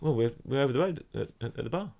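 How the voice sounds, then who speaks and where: A man's voice: medium pitch, lively intonation, rapid-fire, vocal fry. A middle-aged man, a professional trombonist, chatting casually and telling anecdotes, often restarting sentences and mimicking people and rhythms. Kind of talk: casual podcast chat, anecdotes. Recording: thin phone-like audio.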